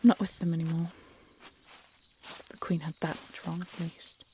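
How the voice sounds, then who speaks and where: A woman's voice: lowish voice, little pitch movement, normal speed, warm tone, husky. A woman playing a guarded, defiant peasant huntress in a fantasy audio drama, casual and sardonic. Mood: wry, guarded. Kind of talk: fantasy audio roleplay acting.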